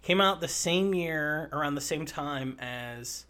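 A man's voice: on the high side, slow pace, pushed and bright. A man co-hosting a podcast, chatting casually about a film, with fillers and casual swearing. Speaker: podcast co-host.

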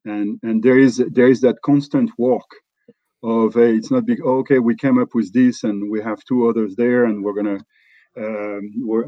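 A middle-aged man from France with a French accent, talking in a relaxed interview and often restarting his sentences. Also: mid-range voice, dry recording, muffled recording. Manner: halting, many restarts and fillers. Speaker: company executive guest.

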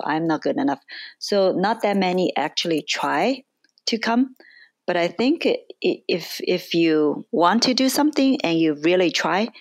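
Low-voiced woman with a Chinese accent. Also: no echo. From China. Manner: thoughtful, with frequent fillers and restarts. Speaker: interviewee. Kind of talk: casual interview answers.

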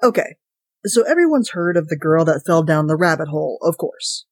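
Podcast reader as wry ordinary narrator, sardonic, conversational, put-upon, mid pitch, dry sound. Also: animated, normal speed, no echo. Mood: wry, grumbling. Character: wry, chatty, put-upon ordinary fridge-portal owner. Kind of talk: first-person short story reading.

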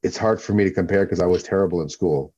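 Brief: low, mellow-toned man, interview guest, loose and rambling, slightly dull audio. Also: fast, husky. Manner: rambling, repeats himself while thinking. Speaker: guest, actor and former fighter. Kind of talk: relaxed interview talk.

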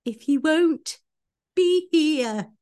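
A woman's voice: high-pitched, lively intonation, drawn-out words, a little mellow. A woman doing playful, theatrical dramatic readings with an exaggerated, melodramatic delivery as a podcast co-host.

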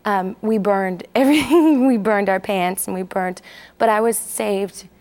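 Woman in her forties from Texas, a TV interview guest; hesitant, candid storyteller, mid pitch. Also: measured pace, a little mellow. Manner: candid, hesitant, with many fillers. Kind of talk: personal testimony in an interview.

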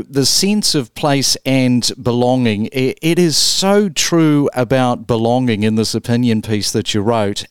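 A man hosts an interview show, moving through his notes and questions at a measured, practiced pace. His voice is smooth and resonant, recorded dry and close with no room sound. He has a mid-range pitch. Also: dry recording. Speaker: podcast host. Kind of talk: radio-style interview hosting.

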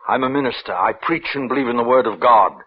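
An actor playing a troubled minister, grave, fearful and morally firm, pleading haltingly in old-time radio drama style. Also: male voice, medium pitch, husky, close to an everyday voice. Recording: muffled, noisy old radio recording.

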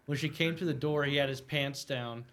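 A man's voice: mid-range voice, moderate pace, a bit bright. A man co-hosting a podcast, telling a story casually and bluntly with some swearing. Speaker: podcast co-host.

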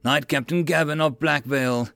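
A man's voice: on the high side, fairly even intonation, moderate pace, creaky, pushed and bright, no echo, studio quality, voice pushed up. A man voicing a proud knight captain turned recruit in an audiobook, speaking formally and declaiming.

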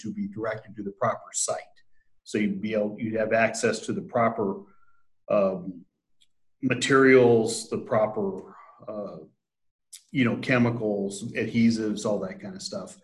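A man, a sales director, explaining plans in an interview, hesitant with many fillers. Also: lowish voice. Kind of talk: conversational business interview.

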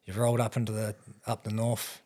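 A New Zealand man hosting a casual podcast. His voice is fairly low and husky. He steers the chat in a blokey, teasing way and swears freely. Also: little pitch movement, fairly quick, warm tone. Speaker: podcast host.

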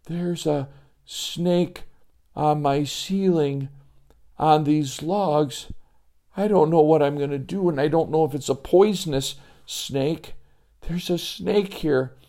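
Guy putting on a jumpy little kid voice, high and nervous, slow with a gravelly edge. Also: mellow. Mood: worried. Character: scared young boy Joey, jumpy and high-strung.

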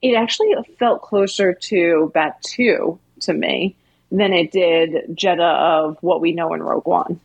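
A woman's voice: lowish voice, unhurried, vocal fry, slightly rough, no echo, dull audio. A woman co-hosting a podcast, chatting thoughtfully and searching for words. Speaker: podcast co-host. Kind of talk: casual chat.

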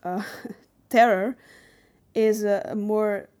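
A woman podcast co-host in a casual discussion of film. She talks analytically at a medium pitch, with hesitant pauses as she searches for the right phrase. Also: fairly even intonation, darkish tone.

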